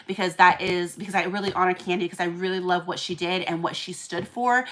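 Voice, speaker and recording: Bright-voiced woman with a Kiwi accent. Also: lowish voice, steady tone, a little fast. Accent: New Zealand. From New Zealand. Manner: rambling, with many fillers and restarts. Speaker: online seller and collector group admin. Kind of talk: casual livestream chat.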